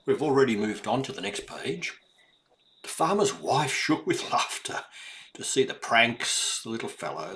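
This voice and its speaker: Casting: older male storybook reader, low-pitched and highly expressive, addressing small children directly; noisy home-style recording. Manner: animated, addresses the child listener with questions and exclamations. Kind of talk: read-aloud storytelling with explanatory asides for young children.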